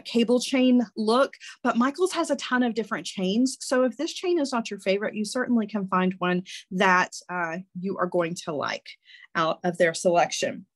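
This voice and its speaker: A woman's voice: medium pitch, fairly bright tone. Congested woman teaching a craft class. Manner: friendly and chatty, with frequent ums and restarts. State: congested, voice a little weak. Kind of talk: casual step-by-step instruction.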